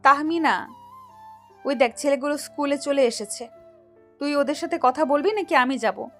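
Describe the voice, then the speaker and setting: A woman's voice: sits high, normal speed, faint music behind, close to an everyday voice. A woman playing a teasing, curious schoolgirl best friend in an audio love story.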